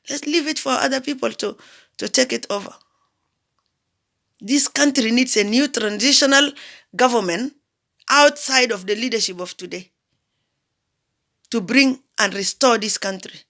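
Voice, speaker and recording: A woman's voice: fairly high pitch, moderate pace, pauses often, fairly bright tone. A woman from South Sudan giving an impassioned political address, emphatic, repeating phrases and asking rhetorical questions. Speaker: diaspora commentator.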